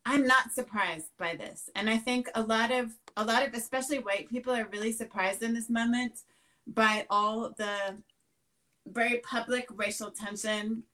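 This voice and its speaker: A middle-aged woman, raised in the Netherlands, telling stories in an energetic, emphatic way as an interview guest. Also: on the high side, normal speed. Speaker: educator and guest. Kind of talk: conversational interview answers.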